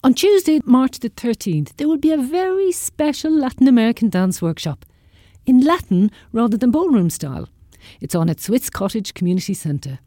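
Woman reading out whats on locally for community radio, soft mellow voice, very dry sound. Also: fairly high pitch, big pitch swings, moderate pace, no echo. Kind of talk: scripted announcements of local events.

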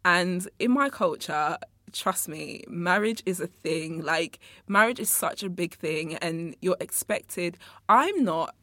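A woman's voice: lowish voice, animated, takes it slowly, sharp tone, no room sound. A young woman from Congo, raised in England, hosting her own podcast in a studio, chatting in a rambling way straight to her listeners. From born in Congo, raised in England. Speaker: podcast host. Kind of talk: casual solo podcast monologue.

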